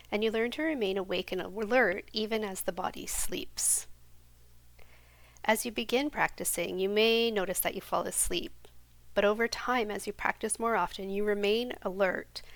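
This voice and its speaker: A woman guiding a yoga nidra meditation in a slow, soft, soothing voice that sometimes drops to a near whisper. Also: medium pitch, dry recording. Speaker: meditation guide.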